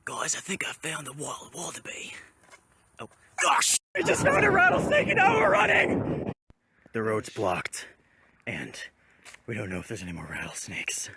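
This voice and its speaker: A young man clowning through a silly outdoor phone video, jumping between a mock-grave low voice and sudden yelps. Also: wildly swooping pitch, moderate pace, gravelly, sharp tone, a little hiss or hum. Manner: jokey, swings from low mock-gravity to yelps. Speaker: vlogger. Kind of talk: goofy improvised skit. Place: outdoors on an overgrown road. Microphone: phone camera.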